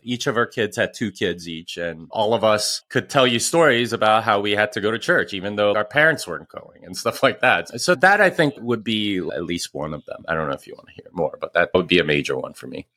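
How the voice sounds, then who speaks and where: A man in his forties who grew up in Korea, speaking as a podcast guest in a reflective, conversational way with pauses and restarts. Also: lowish voice, animated, pushed and bright, no room sound. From South Korea. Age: 40s. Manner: reflective, pausing with frequent restarts. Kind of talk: conversational interview.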